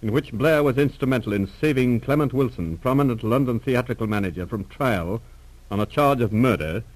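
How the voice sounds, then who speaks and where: A man's voice: mid-range voice, brisk, dry recording, rough recording. A man narrating as a formal announcer on a 1940s radio serial, in an old recording.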